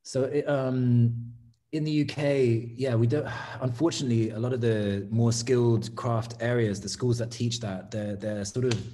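An English man in his thirties, a guest artist, talking casually over a video call from his home studio, thoughtful, with many pauses and restarts. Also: mid-range voice, fairly even intonation, warm tone. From England. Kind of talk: casual interview chat. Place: home studio room, indoors. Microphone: laptop webcam video call.

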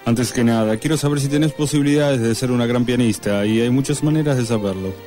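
An actor playing a self-important, nitpicking piano professor with an Argentine accent in a comic radio soap, pompous and deliberate. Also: male voice, medium pitch, mellow, lightly acted. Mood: pompous, appraising. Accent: Argentine Spanish.

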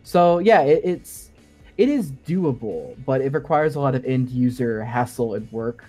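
A man gives a casual, detailed technical explanation as a podcast co-host. His voice sits at a middle pitch, and he talks informally with plenty of hedging. Music plays behind him. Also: animated, unhurried, a little mellow. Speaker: podcast guest co-host explaining game sound design.

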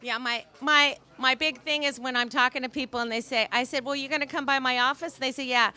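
Middle-aged woman, bright high voice, bubbly and loud, handheld mic at a club dinner. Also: steady tone, a little fast. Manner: bubbly, cheering and whooping. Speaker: club member speaking at a dinner meeting. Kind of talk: casual speech to a club audience. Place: outdoor restaurant patio at night.

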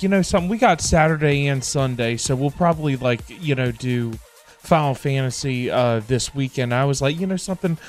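A middle-aged man chatting casually on a livestream in a halting, repetitive, informal way. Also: mid-range voice. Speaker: livestream host. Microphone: close desk microphone. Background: background music.

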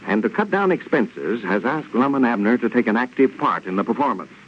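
Male vintage radio announcer, very animated scripted narration, mid pitch, muffled recording. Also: fairly quick, a little hiss or hum.

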